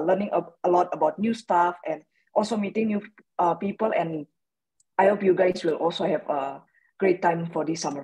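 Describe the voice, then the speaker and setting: A woman's voice: on the low side, darkish tone, husky, low-quality recording. A young woman from Indonesia, a research engineer, introducing herself informally at an online event.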